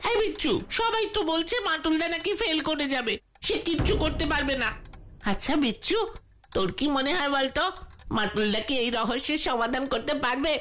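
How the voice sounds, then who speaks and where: A woman as high-voiced earnest cartoon boy. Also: expressive, a little fast, fairly bright tone, slight background noise. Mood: anxious, hopeful. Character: Bachchu, earnest trusting young boy sidekick.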